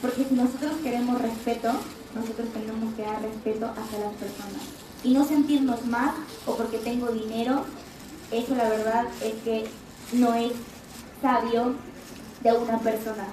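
A woman's voice: fairly high pitch, fairly even intonation, moderate pace, noisy background. A young woman with a slight Argentine accent, talking earnestly to a live crowd from an auditorium stage. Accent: Argentine Spanish, slight. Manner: earnest, encouraging, speaks straight to the crowd. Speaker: actress speaking at a fan event. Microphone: handheld mic, recorded from the audience on a phone. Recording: distant, echoey auditorium audio from a phone. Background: crowd ambience.

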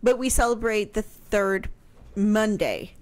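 A woman's voice: medium pitch, slow, a little mellow. A middle-aged woman in casual radio chat, explaining things conversationally into a close microphone. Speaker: radio show guest explaining history. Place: small home room, indoors. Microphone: close broadcast mic on boom arm, headphones.

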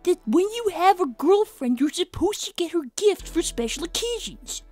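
A woman voicing a bright, earnest twelve-year-old boy fox inventor in a fan-made cartoon, chiding and exasperated. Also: extremely high pitch, very animated, moderate pace, faint music behind. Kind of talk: fan-made animated series voice acting.

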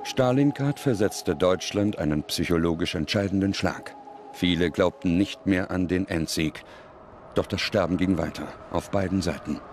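A man reading scripted documentary narration in a solemn, measured manner with dramatic pauses. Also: low-pitched, slight background noise. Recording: loud music under the voice. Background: music.

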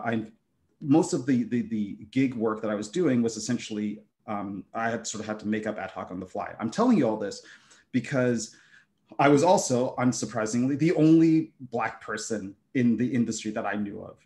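A middle-aged man with German as his first language talks through a video call from his room at home, pausing often, starting sentences over and filling gaps with "uh" and "um". His voice sits at an ordinary, middle pitch for a man. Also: darkish tone. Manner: hesitant, many fillers and restarts. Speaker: game designer, keynote speaker. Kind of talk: conversational panel talk.

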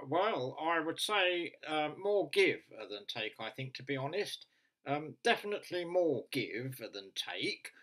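A man voicing an earnest, long-winded funeral director calling a radio phone-in, nasal, hesitant and repetitive in a deadpan way. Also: a little high, heavily acted, low-quality recording.

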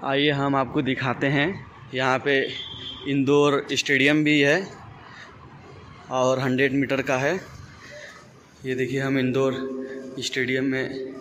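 A man's voice: medium pitch, completely flat delivery, slow pace, long pauses, fairly bright tone. A young man from India walking viewers through an outdoor athletics stadium, describing what he shows in a plain, direct way. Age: young adult. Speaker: vlogger, athlete. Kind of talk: walking tour narration. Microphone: phone camera, handheld. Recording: outdoor phone audio with background noise.

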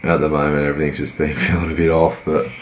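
A man, croaky from a cold, giving laid-back, rambling voice-over commentary on his workout. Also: a bass voice, level tone, fast talker, a little hiss or hum. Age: 20s. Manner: laid-back, rambling, many fillers and restarts. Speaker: fitness vlogger.

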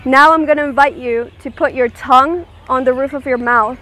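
A woman leading a guided meditation, giving gentle, soothing instructions and checking in with her listeners. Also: high-pitched, steady tone, moderate pace.

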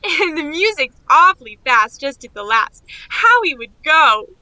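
A woman's voice: high voice, big pitch swings, unhurried, sharp tone, no echo, full character voice, low-quality recording. A woman playing a naive, eager young niece in a radio play, chattering on in rambling run-on sentences. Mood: earnest, excited.

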